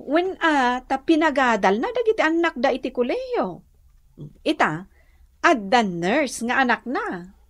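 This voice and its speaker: A high, very animated village gossip in a radio comedy. She is practical and opinionated, speaking emphatically with a Northern Luzon Filipino accent. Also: a little slow, heavily acted. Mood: gossipy, emphatic. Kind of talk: radio comedy drama acting.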